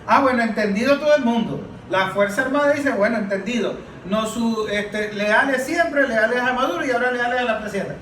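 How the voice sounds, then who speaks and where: High-voiced Venezuelan man arguing in a roomy space. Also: a little fast, hardly any pauses, fairly bright tone. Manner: emphatic, argumentative, with rhetorical questions. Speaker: political analyst on a panel. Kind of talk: informal political panel discussion. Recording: roomy, distant mic with faint noise.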